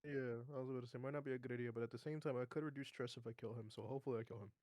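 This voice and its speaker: A man's voice: medium pitch, steady tone, rapid-fire, darkish tone, no echo. A man chatting casually as a player in a tabletop game, blunt and given to swearing.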